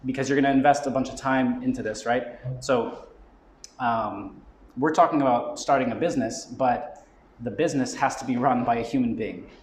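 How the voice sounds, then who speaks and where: A man's voice: mid-range voice, normal speed, slight background noise. A man speaking as a marketing expert at a live event, explaining his ideas conversationally and often checking in with "right?". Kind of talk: live talk explaining business goal setting. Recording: roomy live venue with faint background ambience.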